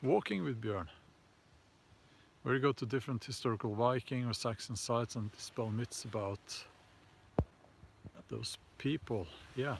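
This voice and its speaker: A man with a Norwegian accent hosting a casual live chat and answering viewer comments, talking haltingly with many fillers and restarts. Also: medium pitch, gravelly, a little hiss or hum. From Norway.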